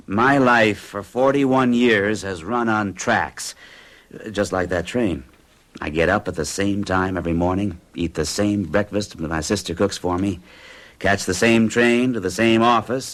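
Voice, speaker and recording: A man's voice: measured pace, natural voice. An actor in his forties playing a nervous accountant of fixed habits, who recounts a strange experience in an earnest, uneasy way. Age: 40s. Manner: earnest, uneasy storytelling with a lively pitch. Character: nervous middle-aged male accountant of rigid habits. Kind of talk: 1970s radio drama acting.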